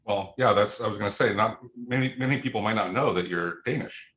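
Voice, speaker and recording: A man's voice: lowish voice, a little fast, a bit bright. A man hosting an interview, asking questions with brief backchannels and playful teasing. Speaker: interview host. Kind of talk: casual interview. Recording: echoey room.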